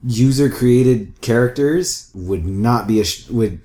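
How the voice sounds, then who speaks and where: A man's voice: mid-range voice, slow, warm tone. A man explaining video game matters at length in a casual, matter-of-fact discussion. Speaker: co-host.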